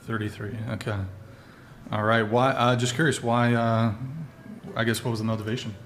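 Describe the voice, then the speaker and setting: Male podcast host, easygoing and halting. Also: medium pitch, a little hiss or hum. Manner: relaxed, hesitant, lots of fillers. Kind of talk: casual panel chat.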